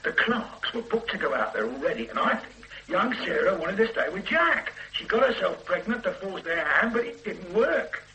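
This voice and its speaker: An actor playing an eager, cheeky detective sergeant in a radio drama. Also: male voice, high-pitched, moderate pace, few pauses, slight background noise, heavily acted, poor audio. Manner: cheeky, lively, persuasive. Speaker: police sergeant. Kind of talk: 1970s radio drama acting.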